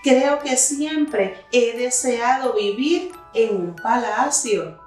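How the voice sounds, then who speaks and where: A woman's voice: on the high side, drawn-out words, darkish tone, faint music behind. A woman voicing a small, wishful toy bear from a storybook, naive and full of wonder. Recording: very echoey room, distant mic.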